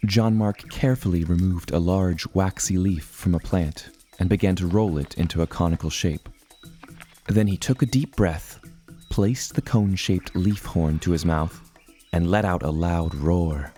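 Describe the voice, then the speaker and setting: A man's voice: sits low, measured pace, mellow, soft background music. An actor playing an earnest seafaring adventurer who tells his tale in formal, old-fashioned language. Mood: earnest storytelling. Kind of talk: scripted storybook narration.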